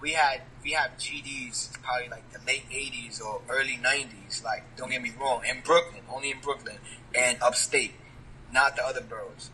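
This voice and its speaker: A man's voice: medium pitch, steady tone, moderate pace, bright, forceful tone, a little hiss or hum, rough recording. A man, a rapper talking casually in an interview, leaning on street slang and asking "you get what I'm saying?". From New York.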